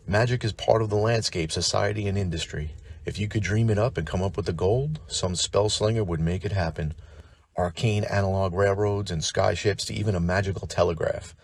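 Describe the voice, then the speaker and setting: A man's voice: low voice, gravelly, husky. A man hosting a tabletop gaming lore show, reading scripted narration like a dramatic storyteller and slipping into wry, informal asides.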